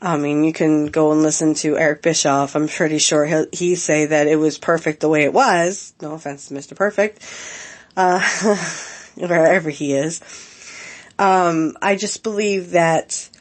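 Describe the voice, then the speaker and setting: Lower-voiced woman podcaster w a head cold, lots of ums, halting but earnest. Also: fairly even intonation. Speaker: podcast host. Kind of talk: casual podcast commentary.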